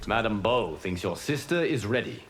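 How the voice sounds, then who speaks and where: Low male voice, calm wise god, formal, grave, video game, noisy ambience. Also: moderate pace. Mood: measured, instructive. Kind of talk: video game voice acting, formal pre-fight banter.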